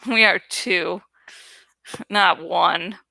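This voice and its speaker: A woman's voice: fairly low pitch, fairly even intonation, extremely slow, creaky, pushed and bright, dry recording, pitched below the performer's own voice, full character voice, rough recording. A woman voicing a shabby, crafty madwoman from a storybook, who speaks in precise, eerie repetitions. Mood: sly, crafty. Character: shabby, sly madwoman who repeats herself eerily.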